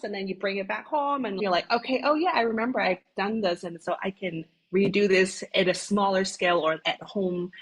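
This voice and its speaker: A woman from Hong Kong, a retreat founder, calmly explaining her work as a podcast guest. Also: fairly low pitch, husky, low-quality recording. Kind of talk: podcast interview.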